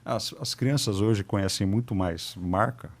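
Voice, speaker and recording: A man's voice: lowish voice, unhurried. A man, chatting as a podcast guest and repeating phrases as he forms his points. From Campo Grande, Brazil. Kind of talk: casual chat.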